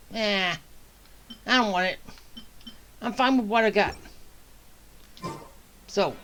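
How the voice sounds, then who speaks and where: Slow, rambling game streamer talking to themself. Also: mid-range voice, fairly bright tone, a little hiss or hum. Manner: muttering, rambling, thinking aloud. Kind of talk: casual gameplay commentary, talking to self.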